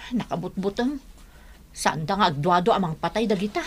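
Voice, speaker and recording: A woman's voice: medium pitch, lively intonation, brisk, husky. A woman acting an earnest, pious, gossipy Filipino village neighbour in an Ilocano radio drama. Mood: worried.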